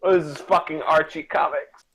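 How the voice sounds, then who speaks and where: A man's voice: a little high, fairly even intonation, moderate pace, fairly bright tone, slight background noise, poor audio. A man chatting casually as co-streamer, reacting with sweary sarcasm to a story being read to him. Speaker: co-streamer commenting.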